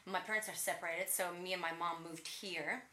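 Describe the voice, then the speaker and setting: Lively woman from Latvia, bright voice. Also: lowish voice, brisk, echoey room, a little hiss or hum. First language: Russian. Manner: energetic, laughs often, animated. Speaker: guest, personal trainer. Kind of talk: casual interview storytelling.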